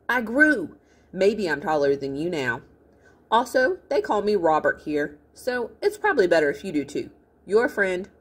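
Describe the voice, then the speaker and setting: A woman's voice: mid-range voice, husky, voice pushed up. A woman voicing an earnest, boastful young boy from a storybook, speaking in an eager, emphatic way. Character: earnest, boastful young boy dictating messages to his friend.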